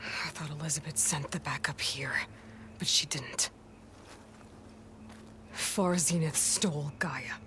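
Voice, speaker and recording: A woman playing a determined, guarded young adventurer in a video game, speaking earnestly in short, halting phrases. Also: low-pitched, noisy background. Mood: quietly shaken. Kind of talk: naturalistic video game voice acting. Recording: game dialogue over background music. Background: music.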